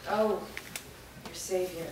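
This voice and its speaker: A woman acts out a dazed, reluctant young woman in a play reading. She sounds confused and questioning. The recording is very echoey and distant, with clear noise. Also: lowish voice, fairly even intonation, slow pace. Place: bare studio stage room, indoors. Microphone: far camera. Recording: echoey room from far off, noisy.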